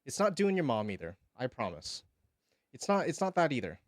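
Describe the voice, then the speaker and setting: A man's voice: medium pitch, sing-song, brisk, dry recording, studio quality. A man streaming casually, thanking viewers and joking around. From Hawaii. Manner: goofy, joking, slips into singing.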